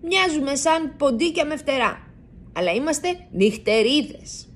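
A woman voicing a kindly female bat who explains things calmly. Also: high voice, slight background noise, pitched above the performer's own voice. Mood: explaining. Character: kindly female bat, calm night creature.

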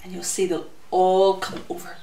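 A middle-aged woman hosting story time for young children, speaking expressively in a sing-song way with drawn-out words. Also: on the low side, completely flat delivery, mellow. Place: glass-walled conservatory at home. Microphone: camera mic a little way off. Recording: roomy echo, distant mic, faint noise.

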